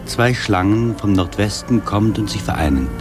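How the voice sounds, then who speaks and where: A man's voice: lowish voice, expressive, normal speed, over music. A man delivering literary first-person travel narration for radio.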